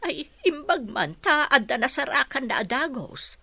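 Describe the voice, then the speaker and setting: A woman's voice: high-pitched, sing-song, normal speed, vocal fry, pushed and bright, dry recording, exaggerated voice. A woman acting a concerned, regretful middle-aged mother in an Ilocano radio drama. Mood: surprised, regretful.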